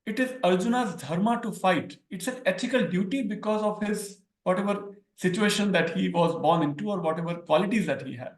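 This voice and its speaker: An Indian-accented man whose first language is Hindi lays out ideas in an explanatory way, repeating words for emphasis. His voice is gravelly and sits a little high. The recording sounds echoey, as if made in a reflective room. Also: a little fast, few pauses. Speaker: scholar guest. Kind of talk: academic discussion.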